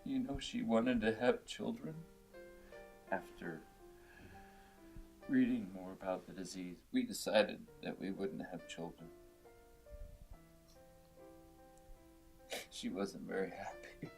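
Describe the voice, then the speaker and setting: An actor playing a drunk, grieving widower who rambles and stammers bitterly in a film drama. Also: male voice, deep voice, a little slow, gravelly, darkish tone, soft background music, low-quality recording. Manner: rambling, combative, stammering venting. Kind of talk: naturalistic film drama dialogue.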